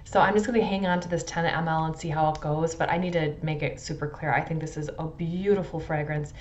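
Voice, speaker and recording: A middle-aged woman giving a casual product review to camera in a measured, thoughtful way, stressing descriptive words. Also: low voice, steady tone, a little mellow, slight background noise. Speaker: fragrance reviewer. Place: indoors in a furnished room at home.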